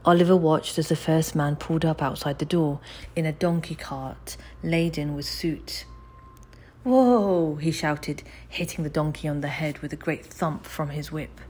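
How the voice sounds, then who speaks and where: A woman reading a children's audiobook with expressive, scripted narration. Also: deep voice, normal speed, slightly rough. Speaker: audiobook reader.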